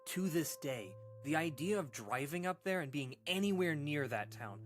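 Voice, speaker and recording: An actor playing a shaken man who recounts an eerie memory in a halting, casual, earnest way, like a caller in a radio drama. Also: fairly high pitch, expressive, faint music behind, natural voice. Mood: haunted, earnest. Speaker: caller telling a paranormal story on a radio show. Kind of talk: conversational scary storytelling, audio drama monologue.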